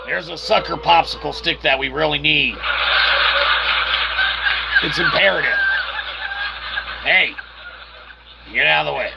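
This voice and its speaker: A man's voice: mid-range voice, creaky, bright, forceful tone, slight background noise, low-quality recording. A man giving casual, joking game commentary in wordy, mock-formal phrases, tossing in playful vocal sound effects. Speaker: host of a gameplay video channel.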